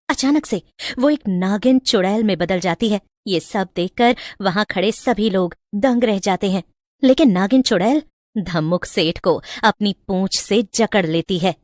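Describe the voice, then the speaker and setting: A woman's voice: medium pitch, dry recording, clean studio sound. A woman storyteller reading a scripted moral tale in a dramatic narrating style.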